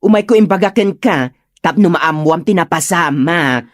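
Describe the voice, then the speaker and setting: A woman's voice: fairly low pitch, expressive, normal speed, dry recording, clean studio sound, lightly acted. A woman playing an exasperated village peacemaker who admonishes people emphatically, in a comedic audio drama. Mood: concerned. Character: scolding village woman, exasperated peacemaker among quarrelling elders. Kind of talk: comedic Ilocano radio-style drama acting.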